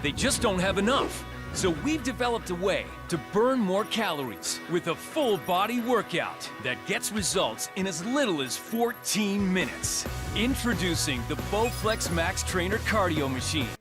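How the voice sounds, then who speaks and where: Male infomercial announcer, high pitch, very rough husky voice, scripted sales delivery, faint music. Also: big pitch swings, normal speed, long pauses, a bit bright. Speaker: advertisement announcer.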